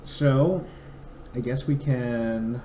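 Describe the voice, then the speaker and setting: A young man co-hosting a podcast, his voice a little raspy and mellow. He chats loosely and reads a forecast aloud, stopping for asides. The room is echoey, with faint noise underneath. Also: medium pitch, drawn-out words. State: raspy throat. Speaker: podcast co-host. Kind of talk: casual chat.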